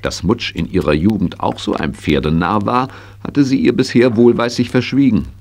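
A man narrating a scripted children's radio play as an expressive storyteller. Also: lowish voice, normal speed, vocal fry.

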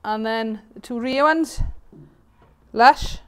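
A woman's voice: a little high, slow, fairly bright tone, smooth. A British woman giving casual instructions while setting up sound equipment in a large room. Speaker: band sound setter-up and vlogger. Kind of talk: casual instructions during PA setup. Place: large bright community hall room.

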